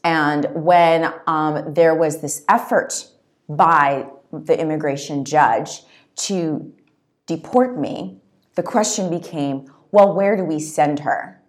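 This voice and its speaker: Woman from zambia, deep-ish voice, earnest interview guest, lots of "you know". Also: takes it slowly. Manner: earnest, reflective, with frequent "you know" and "right?". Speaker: author guest. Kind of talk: interview conversation.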